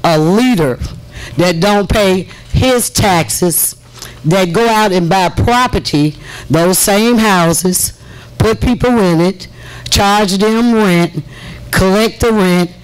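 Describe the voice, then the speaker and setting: A trustee speaking out to residents at a village board meeting, deliberate and emphatic, repeating phrases for effect. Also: female voice, medium pitch, clear, resonant tone, low-quality recording. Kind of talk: impassioned public meeting speech.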